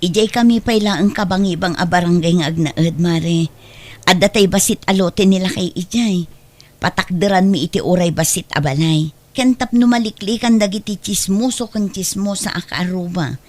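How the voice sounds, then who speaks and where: A woman's voice: lowish voice, normal speed, darkish tone, natural voice. A woman with an Ilocano accent playing an earnest, persuasive character in a family drama. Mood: sorrowful. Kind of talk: Ilocano radio-style family drama acting.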